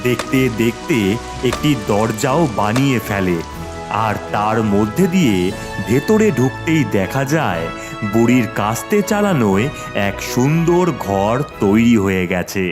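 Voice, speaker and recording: A man narrating a children's cartoon tale as a scripted, expressive storyteller. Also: mid-range voice, measured pace, music in the background.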